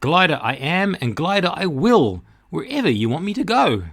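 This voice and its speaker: A welcoming pelican played by a man calls out breezily. It is exuberant and high, in bouncy storybook rhyme. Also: sing-song, moderate pace, fairly bright tone, full character voice.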